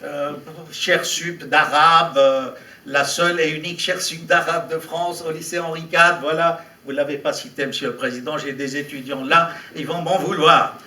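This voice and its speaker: Middle-aged male professor, bilingual, high and bright, animated lecturing with rhetorical questions, recorded in a roomy hall. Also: normal speed. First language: Arabic. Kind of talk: academic lecture reading and commenting on translated texts. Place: large hall. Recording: echoey hall, mic at a distance.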